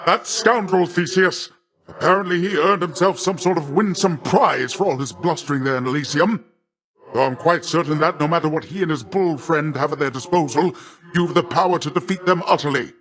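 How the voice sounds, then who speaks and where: A man's voice: a little high, a little fast, fairly bright tone, natural voice. A man voicing a boisterous, boastful sea god in a video game, a jovial, doting uncle who speaks in hearty, grandiloquent, old-fashioned phrasing. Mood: scornful, confident. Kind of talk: theatrical video game character voice acting.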